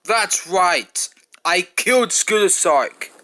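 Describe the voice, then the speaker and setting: A man plays a cold, defiant cartoon fighter who boasts and threatens. The voice sits a little high and moves in slow, lively swoops. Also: heavily acted. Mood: cold, boastful. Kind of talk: amateur cartoon voice acting.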